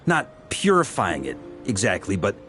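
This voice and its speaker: An actor playing a seasoned, easygoing knight in a fantasy game, explaining things in a measured, self-assured manner. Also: male voice, fairly low pitch, huge pitch swings, fairly bright tone, faint music behind. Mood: relieved, explanatory. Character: seasoned knight, confident, easygoing, thoughtful adventurer. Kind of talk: animated fantasy game voice acting.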